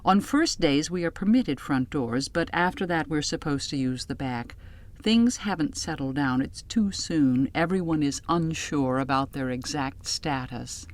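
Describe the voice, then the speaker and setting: Low-voiced woman narrating a literary audiobook. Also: animated, unhurried. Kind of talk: scripted literary narration in first person.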